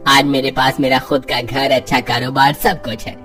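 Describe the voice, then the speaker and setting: A man's voice: mid-range voice, little pitch movement, normal speed, sharp tone, soft background music, close to an everyday voice. A man voicing a prosperous friend who gives advice in a cartoon story. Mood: contented. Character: prosperous adult male friend, once a poor labourer, advising. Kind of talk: scripted cartoon story dialogue.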